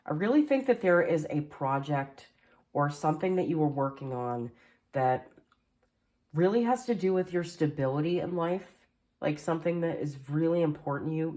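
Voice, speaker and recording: A woman giving a casual tarot card reading, chatty and rambling with lots of fillers, a little nasal and hoarse from allergies. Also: low voice, animated, normal speed, mellow. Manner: chatty, rambling with many fillers, occasional coughs. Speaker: tarot reader. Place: indoors at a table at home. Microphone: camera facing the table, speaker mostly off screen.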